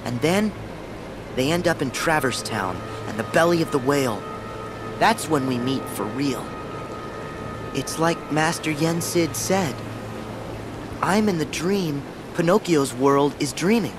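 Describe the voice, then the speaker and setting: Resolute teenage hero for a game, earnest, brooding delivery, music underneath. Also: male voice, on the high side, lively intonation, a little slow, long pauses. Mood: pensive. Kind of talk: animated game voice acting.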